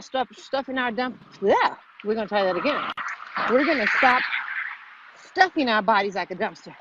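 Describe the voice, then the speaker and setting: A woman's voice: a little high, fairly bright tone. A woman co-hosting a live fitness vlog, urging viewers on and repeating slogans. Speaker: vlog co-host and fitness motivator. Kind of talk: motivational live-stream chat. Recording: muffled audio with clear background noise.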